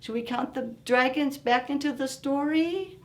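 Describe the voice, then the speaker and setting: A woman reading aloud for young children in an expressive, deliberate storytime manner, engaging her listeners directly. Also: high-pitched. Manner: expressive, asks listeners simple questions. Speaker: children's story-time reader.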